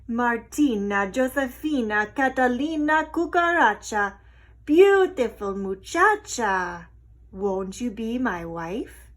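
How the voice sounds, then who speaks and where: A woman voicing a vain, pompous male rooster in a storybook, boastful and quick to temper. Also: fairly high pitch, expressive, a bit bright. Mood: crooning. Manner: boastful, crooning, flamboyant. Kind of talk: storybook character voice.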